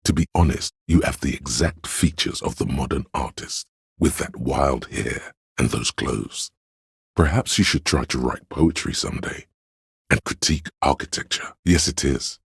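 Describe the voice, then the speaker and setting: A man playing the pretentious, wry inner voice of an art-loving detective in a game, narrating in a theatrical, deliberate, literary manner. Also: dry recording, studio quality, heavily acted. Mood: musing, teasing, then grandly coaxing. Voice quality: very deep, gravelly, husky. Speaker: game narrator voicing a character's thoughts.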